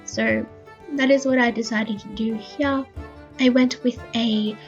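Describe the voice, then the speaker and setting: A woman with a fairly high voice, a touch croaky from a recent illness, talks through her journaling in a soft, chatty way. The recording sounds a bit dull and muffled, with faint music playing under it. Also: steady tone, a little slow. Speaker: bullet journal video creator. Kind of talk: voice-over narration of a crafting video. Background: faint background music.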